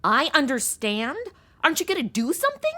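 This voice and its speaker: High, bright, indignant party-girl temp played by a woman. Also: wildly swooping pitch, brisk, full character voice. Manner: exasperated, breezy modern slang. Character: flighty young female temp secretary, indignant party girl. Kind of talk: comedic audio drama acting.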